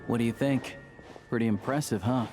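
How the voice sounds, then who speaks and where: A man's voice: medium pitch, steady tone, a little fast, a little mellow, faint music behind, lightly acted. An actor playing an easygoing, knowledgeable local man in a video game, talking in a casual, conversational way. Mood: relaxed, friendly. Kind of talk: video game character dialogue.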